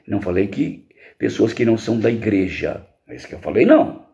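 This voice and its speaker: Brazilian male, middle-aged, youth Bible teacher: gravelly, expressive, didactic, informal. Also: fairly low pitch, fairly quick. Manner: didactic, emphatic, asks rhetorical questions and answers them. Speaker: pastor teaching a lesson to teens.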